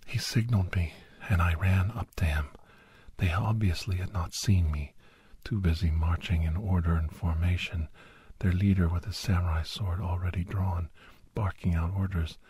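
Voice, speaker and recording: A man narrating a suspenseful first-person ASMR story in a hushed, half-whispered voice with dramatic pauses. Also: sits low, steady tone, husky, dry recording. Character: doctor recounting a monster-hunting adventure, grave and awed.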